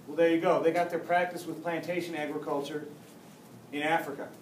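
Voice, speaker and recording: A man's voice: fairly high pitch, level tone, fairly quick. Joking male teacher in an echoey classroom. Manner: joking, chatty, with many restarts. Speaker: high school history teacher. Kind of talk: casual classroom lecture. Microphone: camera far across the room. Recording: echoey classroom, faint hum, mic far off.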